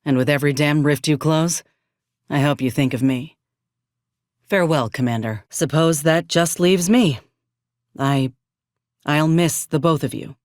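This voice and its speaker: An actress voicing a frank, loyal frontier soldier in a video game. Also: female voice, low-pitched, measured pace, long pauses, no echo, clean studio sound. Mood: solemn, heartfelt. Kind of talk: scripted video game character dialogue.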